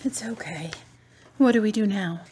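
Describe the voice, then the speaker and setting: A woman acting a grieving, stoic adult daughter in a radio drama, restrained and husky. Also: medium pitch, moderate pace, dark tone, natural voice. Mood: guarded.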